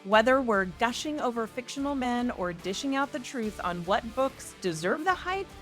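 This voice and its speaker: A woman's voice: fairly high pitch, lively intonation, moderate pace, a bit bright. A woman hosting a podcast, reading a scripted promotional intro. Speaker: podcast host. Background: faint music.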